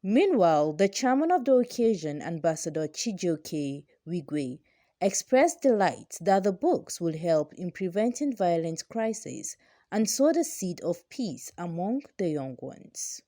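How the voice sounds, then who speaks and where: Nigerian woman reporter, low animated news read. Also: unhurried, no room sound, clean studio sound. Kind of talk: scripted news narration.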